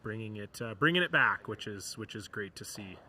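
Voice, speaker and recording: A man doing relaxed, chatty play-by-play commentary for a curling broadcast. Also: on the low side, a little fast, slight background noise. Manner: relaxed, chatty, reads sponsor lists. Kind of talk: sports commentary.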